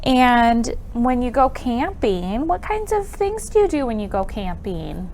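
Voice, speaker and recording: A woman's voice: on the high side, big pitch swings, a little slow, a little mellow, slight background noise. A woman presenting an interactive storytime for young children, with counting songs and exaggerated exclamations and questions.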